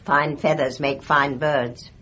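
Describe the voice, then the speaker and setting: An actress playing a down-to-earth, sceptical village nurse in a radio drama, blunt and matter-of-fact. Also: female voice, low-pitched, fairly even intonation, slow, pushed and bright, a little hiss or hum, heavily acted. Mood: wry, gossipy.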